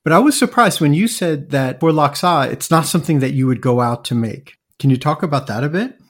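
A man hosting a food podcast, introducing his guest and asking earnest, slightly halting questions. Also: a little high, animated. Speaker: podcast host. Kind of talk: podcast interview.

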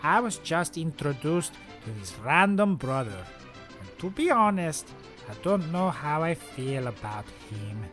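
A man with a slightly high voice talks as a podcast co-host. He is casual and jokey, easily tipped into giggles, as if among close friends. Light music plays behind him. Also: sing-song, unhurried, fairly bright tone. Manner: giggly, breaking into laughter. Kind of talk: casual joking chat with friends.

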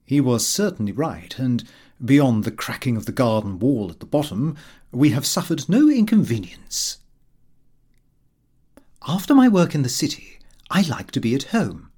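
A male reader plays a middle-aged Victorian clerk, narrating his comic diary for an audiobook. He is fussy, pompous and entirely earnest. His intonation is very animated, rising and falling widely as he sets out his small domestic affairs with great self-satisfaction. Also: medium pitch, moderate pace, slightly rough. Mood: self-satisfied, chatty.

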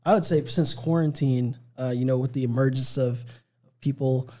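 A man in his twenties, a guest on a casual podcast, speaking in a measured, thoughtful way with many ums. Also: mid-range voice, steady tone, mellow. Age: 20s. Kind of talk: casual chat.

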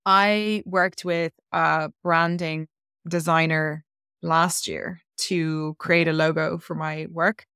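Low-voiced chatty woman guest. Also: little pitch movement, takes it slowly, fairly bright tone, clean tone, no room sound, clean studio sound. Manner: chatty, rambling, many "like" fillers. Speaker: podcast guest, coach. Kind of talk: casual interview storytelling.

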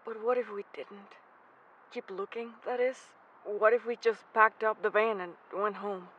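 Male actor as gentle, anxious young travel companion, hesitant delivery, mystery audio drama, dull noisy recording. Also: high-pitched, fairly even intonation, a little slow. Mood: anxious, vulnerable. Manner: hesitant, stammering.

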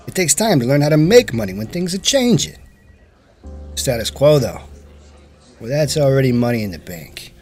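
Noir audio drama, man acting wisecracking senior officer, husky, lively pitch swings, teasing swagger, soft music behind. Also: moderate pace, pauses often. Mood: cynical, needling. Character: cocky, cynical, wisecracking senior police officer.

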